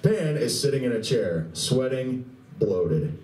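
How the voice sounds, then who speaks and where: A man's voice: medium pitch, very animated, slow, dark tone. A man reading scripted narration for a live radio play in a convention room. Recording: distant, echoey convention room.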